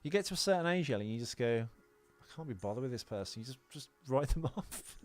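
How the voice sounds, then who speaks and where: A man's voice: mid-range voice, animated, fast. A British man co-hosting a podcast in casual personal chat, talking bluntly and swearing freely. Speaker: podcast co-host.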